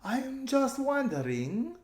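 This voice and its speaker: A man voicing a silly, excitable young noblewoman in a children's storybook, dramatic and fretful, drawing out words in falsetto. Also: takes it slowly, reverberant, full character voice. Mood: giggly, unsure.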